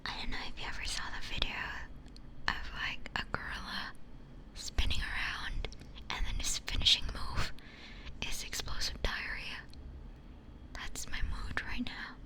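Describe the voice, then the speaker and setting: A woman's voice: a little slow, pauses often. Whispering woman, casual ASMR streamer. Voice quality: whispery, breathy. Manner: playful, rambling, repeats phrases. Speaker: ASMR livestreamer chatting with viewers. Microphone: close binaural ASMR microphone.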